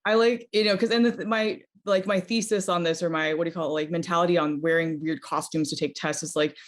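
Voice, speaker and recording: A woman's voice: on the low side, steady tone, fast. A young woman from Utah, chatting as an interview guest, bubbly with lots of ums and small laughs. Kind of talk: casual interview chat.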